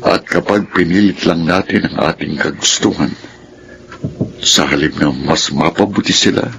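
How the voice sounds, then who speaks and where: Casting: loving, resigned older Filipino father, extremely deep voice, earnest radio-drama delivery. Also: creaky, noisy background. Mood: reflective, heavy-hearted. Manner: solemn, measured, earnest.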